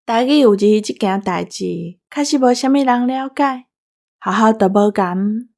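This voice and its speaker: A woman's voice: on the high side, moderate pace, a little mellow. A woman with a Taiwanese accent co-hosting a podcast in a conversational style. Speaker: podcast co-host.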